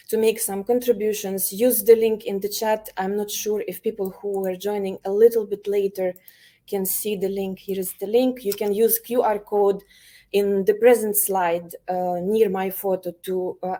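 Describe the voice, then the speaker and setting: A woman from Ukraine, an elementary teacher, presenting an online workshop over a video call, speaking with many pauses, fillers and restarts. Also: medium pitch, dark tone.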